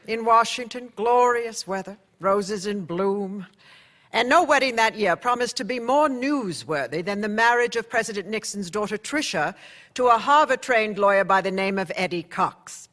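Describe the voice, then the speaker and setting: An actress playing an older woman newspaper publisher, narrating her memories in a radio play with a measured, reflective delivery. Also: lowish voice, fairly bright tone.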